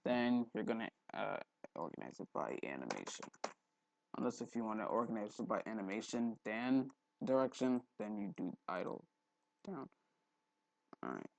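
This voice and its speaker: Low-voiced, gravelly young man rambling through tutorial. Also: expressive, normal speed, pauses often, no echo, low-quality recording. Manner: rambling, thinking aloud, frequent throat clearing. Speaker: tutorial host. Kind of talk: casual screen-recorded software tutorial. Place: indoors at a computer, voice-over for screen recording.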